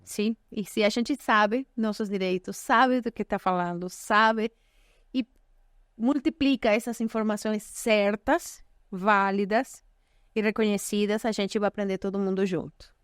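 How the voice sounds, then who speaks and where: A woman's voice: mid-range voice, fairly even intonation, a little slow, smooth, no echo, clean studio sound. Chatty Brazilian-Portuguese woman host. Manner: warm effusive thanks, frequent fillers. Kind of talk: casual interview chat.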